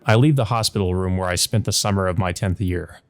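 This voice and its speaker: Low-voiced man narrating a visual novel. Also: fairly quick. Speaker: let's play narrator. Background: background game music.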